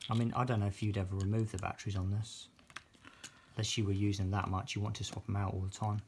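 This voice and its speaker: A man's voice: lowish voice, flat delivery, fast talker, darkish tone, slight background noise. A British man giving a casual, unscripted product demonstration as a reviewer. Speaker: product reviewer filming an unboxing.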